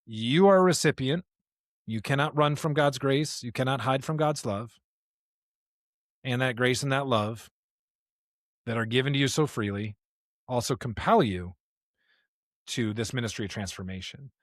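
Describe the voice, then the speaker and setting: A man's voice: mid-range voice, moderate pace, long pauses, no echo. The man at the podcast table who slips into preaching, driving points home by repetition, recorded close and dry. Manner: emphatic, repeats rhetorical questions and phrases. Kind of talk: conversational podcast discussion with sermon-like passages.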